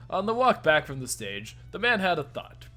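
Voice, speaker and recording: A man's voice: a little high, sing-song, moderate pace, sharp tone, soft background music. A man narrating a story aloud in an informal cold read, stumbling over words and correcting himself. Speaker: narrator in a fan reading of a visual novel.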